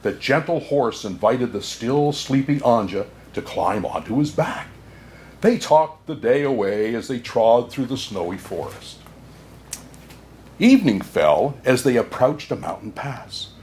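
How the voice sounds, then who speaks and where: A man playing a jolly, kindly old Santa Claus, excitable and chuckling, reading a children's storybook aloud with "oh my" exclamations. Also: mid-range voice, a little slow, close to an everyday voice. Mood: gently narrating. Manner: hearty chuckles and frequent "oh my" exclamations. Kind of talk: children's storybook read-aloud with asides to listeners.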